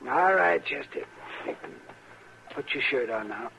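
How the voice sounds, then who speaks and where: An actor playing a crusty, teasing American frontier doctor in an old radio western, with a folksy, needling manner. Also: male voice, mid-range voice, lively intonation, takes it slowly, creaky, bright, forceful tone, exaggerated voice. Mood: amused. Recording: muffled, hissy old radio recording.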